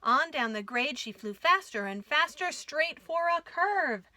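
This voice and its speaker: Female storytime reader, higher-pitched and bright, dry close sound, expressive delivery of rhyming children's verse. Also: unhurried. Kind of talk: expressive read-aloud of a rhyming picture book.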